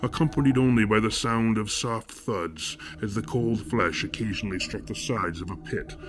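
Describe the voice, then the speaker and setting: A man acting as a somber first-person narrator of horror fiction, grave and deliberate in ornate storytelling. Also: fairly low pitch, lightly acted. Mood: grave, ominous. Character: somber male narrator recalling nightmarish horrors. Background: loud music.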